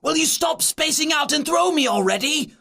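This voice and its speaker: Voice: mid-range voice, big pitch swings, moderate pace, gravelly, a bit bright, husky, heavily acted. A performer voicing a tiny, bossy young boy in a story, urgent and exasperated.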